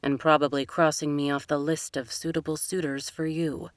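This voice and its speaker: A woman voicing an apologetic young man, speaking softly and sheepishly. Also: low-pitched, little pitch movement, normal speed, no room sound, pitched below the performer's own voice, natural voice. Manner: soft, hushed, apologetic.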